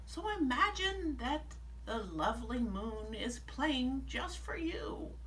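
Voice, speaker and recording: Woman as a kindly little cricket, lilting high. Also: sing-song, slow pace, exaggerated voice. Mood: gentle encouragement. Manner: gentle, encouraging, lilting. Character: kindly, wise little cricket encouraging a sad friend. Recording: distant mic, faint background noise.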